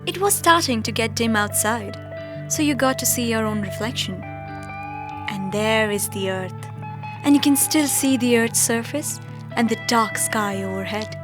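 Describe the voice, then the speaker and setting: A woman's voice: on the high side, animated, unhurried. An actress playing an awestruck young woman astronaut reminiscing in an audio drama monologue. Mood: awed wonder. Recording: music bed under the voice. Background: instrumental music.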